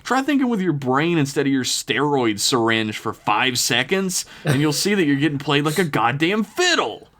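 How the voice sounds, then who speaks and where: A man's voice: fairly high pitch, sing-song, moderate pace, few pauses, fairly bright tone, voice pushed up. Man playing a scornful, exasperated fighter with big swings. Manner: bellowing, sarcastic. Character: scornful, foul-mouthed, exasperated male fighter.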